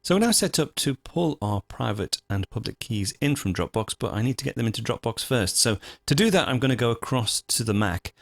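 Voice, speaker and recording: A man hosting a software tutorial, explaining things step by step in an informal, methodical, conversational way. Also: medium pitch, animated, a little fast, gravelly, few pauses, no room sound, studio quality. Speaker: host of a software tutorial show.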